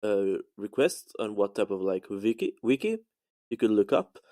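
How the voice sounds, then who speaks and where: A man from Finland with a Finnish accent, leaving a halting, polite voice message with many restarts. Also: on the low side, sing-song, warm tone. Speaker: voicemail caller. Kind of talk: recorded voice message.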